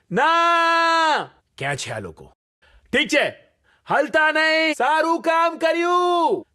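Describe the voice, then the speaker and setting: A man acting high-pitched, forceful squad soldier. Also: heavily acted. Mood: tactical, controlled. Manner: clipped, commanding, forceful. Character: urgent male squad soldier calling out battle commands. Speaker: game voice pack performer. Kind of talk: short shouted military game callouts.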